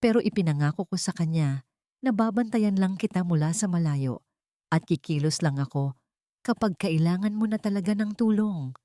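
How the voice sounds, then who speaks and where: Filipina narrator as a calm serious guy with quiet authority, audiobook drama, low voice. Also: normal speed, no echo, studio quality, natural voice.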